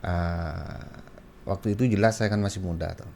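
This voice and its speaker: A man from Madura, speaking as a talk show guest and pausing to search for words. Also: deep voice, creaky, mellow, a little hiss or hum. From Madura, Indonesia. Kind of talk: conversational personal anecdote.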